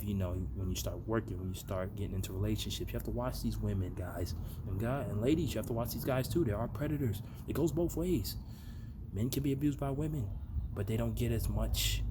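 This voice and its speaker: Low-voiced American man, noisy amateur recording. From United States. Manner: earnest, reflective, talks to viewers directly. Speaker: vlogger. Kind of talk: casual motivational monologue to viewers. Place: outdoor backyard.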